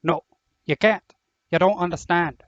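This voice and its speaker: A man's voice: sits high, unhurried, a bit bright, no room sound, muffled recording. A man playing a huge, protective schoolboy in an audio drama, speaking colloquially and emotionally. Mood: desperate pleading.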